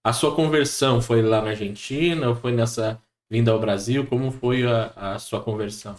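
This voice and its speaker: A man hosting a relaxed podcast interview, asking slow, hesitant questions full of fillers. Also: mid-range voice. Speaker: podcast host. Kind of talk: casual interview.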